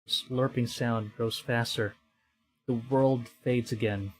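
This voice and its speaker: A man's voice: medium pitch, fairly even intonation, slow pace, breathy edge, soft background music, low-quality recording. A man streaming a horror visual novel, reading it aloud with live reactions and acting out the dialogue dramatically.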